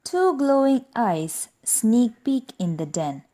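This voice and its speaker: A woman reading a children's rhyming storybook aloud in a deliberate, expressive, rhythmic way. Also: on the high side. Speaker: storybook reader. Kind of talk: children's read-aloud narration.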